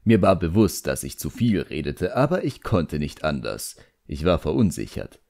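A man's voice: rumbling low, sing-song, normal speed, gravelly, warm tone, no room sound, clean studio sound. A man with a standard German accent reading scripted first-person fantasy narration expressively as an audiobook narrator.

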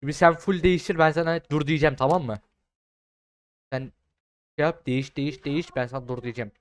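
Young guy streaming a game, clear voice, dry close mic, sweary and excitable. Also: a little high, fast, no room sound. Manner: foul-mouthed, slangy; excitable, repeats words in bursts. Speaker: gaming streamer. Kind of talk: casual trolling game commentary.

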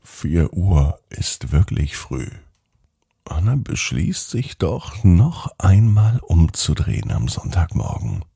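Deep-voiced, animated male bedtime storyteller. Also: slow, vocal fry, slightly rough, no room sound, clean studio sound. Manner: expressive, addressing young children directly. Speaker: children's podcast host and storyteller. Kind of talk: scripted bedtime story narration.

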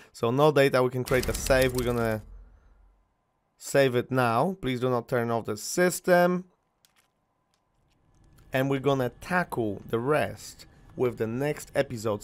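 A young man from Poland whose first language is Polish, rambling casually with fillers as he commentates a video game on a headset mic. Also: medium pitch. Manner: chatty, rambling, lots of fillers. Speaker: gaming channel host.

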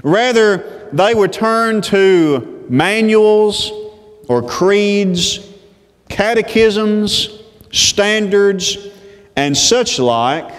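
Male preacher, thirties, high voice, unhurried sermon delivery, lapel mic in a reverberant sanctuary. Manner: slow, deliberate pulpit delivery. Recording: long church reverb.

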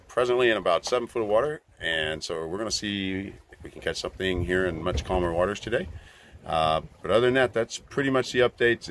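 A deep-voiced man in his forties talks loosely into a phone at arm's length, full of "uh" and "um", as he updates viewers on a boat trip. Wind and engine noise hum steadily behind him on the open water. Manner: relaxed, rambling, with many fillers. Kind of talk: casual travel vlog updates. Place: outdoors on a small boat at sea. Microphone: phone held at arm's length.

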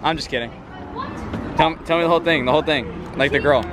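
A man's voice: a little high, quick pace, a loud crowd, very noisy. A young man chatting casually to camera as a vlogger, talking to his viewers. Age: young adult. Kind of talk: casual vlog chat to camera. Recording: loud music behind the voice.

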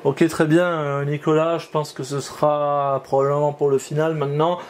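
A man's voice: on the high side, flat delivery, normal speed, a little hiss or hum. A man, an amateur radio operator explaining things hesitantly in an informal radio exchange. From France. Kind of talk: informal two-way ham radio exchange, demonstration. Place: indoor home radio shack.

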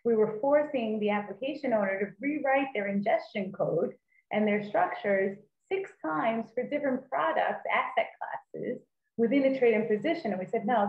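A woman in her forties explaining a work approach on a video call, earnest and given to repeating points for emphasis. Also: mid-range voice. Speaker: data leader panelist. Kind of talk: conference panel talk on video call. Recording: tinny, echoey video call audio.

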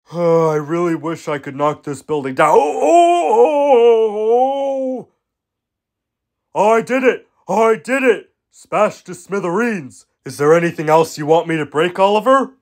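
Guy doing a clumsy eager cartoon digger, high voice, real up and down, kinda slow and sorry about everything. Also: lively intonation, darkish tone. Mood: eager, apologetic. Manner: exclamatory, drawn-out, repeats phrases. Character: clumsy, eager, apologetic male construction machine. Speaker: voice actor performing a character reel. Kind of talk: animated children's show character acting.

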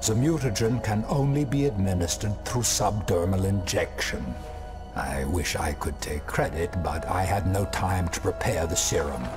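An actor playing a sinister mad scientist in a video game, theatrical, condescending and coldly gloating. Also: male voice, low voice, normal speed, music in the background, natural voice. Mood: gloating menace. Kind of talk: video game villain acting.

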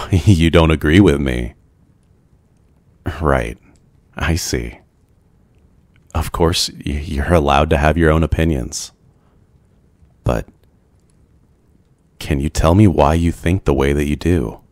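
Very deep, slow-talking brooding male vampire. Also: a little mellow, no echo. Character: brooding, lonely, ancient male vampire, gentle and courteous. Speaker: roleplay voice performer. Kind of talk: ASMR boyfriend roleplay, scripted.

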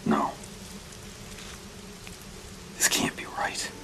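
An actor playing a blunt, hot-tempered American convict on the run in a video game, terse and exasperated. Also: male voice, drawn-out words, in a whisper. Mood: disbelieving. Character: embattled, fed-up male fugitive convict, blunt, hot-tempered.

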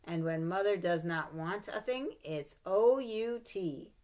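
Middle-aged woman, lowish voice, lively storybook reader with playful asides, home webcam. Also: takes it slowly. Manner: expressive, animated read-aloud with playful asides. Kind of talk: reading a children's picture book aloud. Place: home room with bookshelves. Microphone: webcam at desk.

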